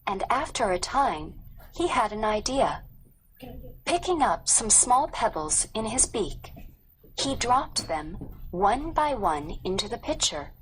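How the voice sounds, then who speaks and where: Slow, measured woman narrating, faint background noise. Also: medium pitch, poor audio. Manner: measured, careful reading. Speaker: audiobook narrator. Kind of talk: scripted storybook narration.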